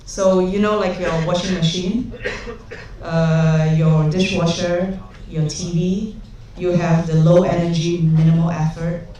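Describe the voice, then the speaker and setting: A woman's voice: fairly low pitch, little pitch movement, measured pace, dark tone, slight background noise. Woman from Singapore, young adult, humorous conference speaker; distant, roomy hall sound. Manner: humorous, chatty storytelling with many fillers. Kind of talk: conference talk with anecdotes. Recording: echoey hall, speaker far from mic.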